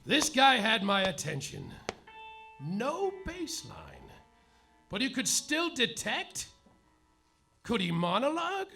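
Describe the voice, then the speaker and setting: A man narrating and acting a hardboiled detective in a live stage comedy, deadpan noir delivery with sudden yelled outbursts. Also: extremely high pitch, slow pace, creaky, long pauses, faint music behind. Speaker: lead performer in a live comedy play, as the detective.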